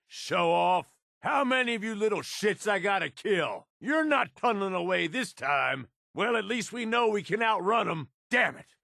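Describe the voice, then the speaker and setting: An actor playing a tough, cynical middle-aged raider henchman in a video game, with a gravelly voice and rough, folksy, sardonic slang. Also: high-pitched, pushed and bright, no echo, studio quality, full character voice. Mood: combative. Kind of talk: video game character voice lines.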